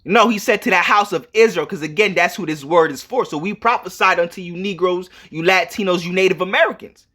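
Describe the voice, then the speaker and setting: A man's voice: high-pitched, fairly quick, hardly any pauses, sharp tone. A man preaching informally, reading scripture and explaining it emphatically, repeating himself and checking that listeners follow. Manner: emphatic and repetitive, punctuated with check-in questions.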